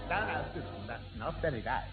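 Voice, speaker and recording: A man as a fussy, high-voiced soft-hearted peacemaker. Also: animated, quick pace, loud music behind the voice, lightly acted. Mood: sympathetic, soothing. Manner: hesitant, placating, genteel. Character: mild, fussy, soft-hearted man, placating family friend. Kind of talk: 1960s radio sitcom acting. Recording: tinny, echoing, noisy old radio broadcast.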